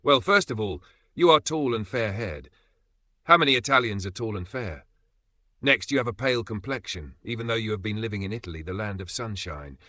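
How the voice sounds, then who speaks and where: A man's voice: on the low side, expressive, brisk, pushed and bright, dry recording. A man voicing an amused, ironic Italian businessman who teases a young man, drawn out and theatrical. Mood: teasing.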